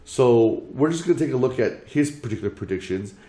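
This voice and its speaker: A man who is losing his voice after days of being sick talks through his market outlook, numbering his points. His voice sits at an ordinary pitch and sounds dark and mellow. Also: animated. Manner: measured, lays out points in order. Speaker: crypto channel host.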